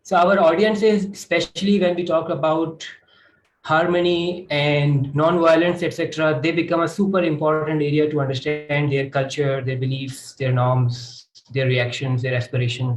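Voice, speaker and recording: Measured man in an echoey room. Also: on the high side. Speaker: panelist, doctor. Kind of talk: explanatory panel talk.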